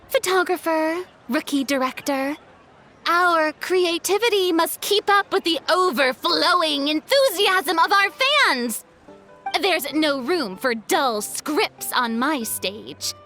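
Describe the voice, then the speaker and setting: A woman's voice: very high voice, sharp tone, heavily acted. A woman voicing a flamboyant, playful and grandiose showrunner in an anime game, speaking with theatrical flourishes over music. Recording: music behind the voice.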